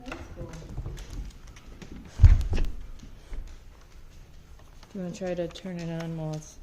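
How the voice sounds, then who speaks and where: A woman's voice: fairly low pitch, steady tone, a little slow. Woman chatting casually over noisy home recording.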